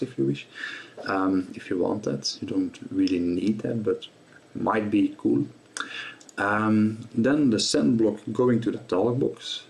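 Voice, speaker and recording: A man presenting a guitar gear tutorial in a casual, explanatory way, with halting delivery and frequently repeated words. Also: fairly low pitch, vocal fry, darkish tone.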